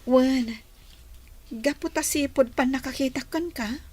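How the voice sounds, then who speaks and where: An actress with an Ilocano accent playing a coy, tender young maiden in love in a radio drama. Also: female voice, high voice, a little slow, darkish tone, no echo.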